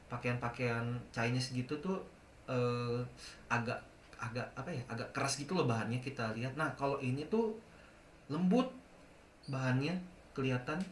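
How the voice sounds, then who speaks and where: A man's voice: mid-range voice, a little hiss or hum. A young man telling a ghost encounter in casual speech, hesitant and often correcting himself. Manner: hesitant, many self-corrections. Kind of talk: casual storytelling. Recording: roomy echo.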